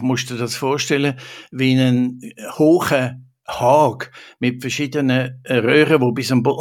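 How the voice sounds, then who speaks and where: An older man tells a story from his own life in a Swiss German accent. His voice sits in a middle pitch range. He often hesitates, fills pauses and restarts his sentences as he remembers. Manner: hesitant, many fillers and restarts. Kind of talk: casual spoken storytelling.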